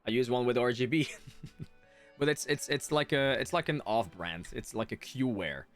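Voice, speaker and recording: A man livestreaming a game, chatting casually with viewers and thinking out loud as he reacts. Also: medium pitch, moderate pace, faint music behind. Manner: chatty, reads chat aloud, thinks out loud. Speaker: game streamer.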